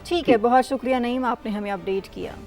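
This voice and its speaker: A Pakistani woman anchors a news bulletin in a fairly high voice. She reads scripted items in the manner of a television newsreader, with music faintly playing underneath. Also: fast, a little mellow. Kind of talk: scripted news bulletin reading with reporter handovers.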